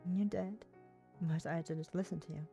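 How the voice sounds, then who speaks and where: A woman voicing an easygoing young female friend in a visual novel, relaxed and low-key. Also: moderate pace, vocal fry, soft background music. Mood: unbothered. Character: Neve, laid-back young woman, sweet-toothed easygoing friend.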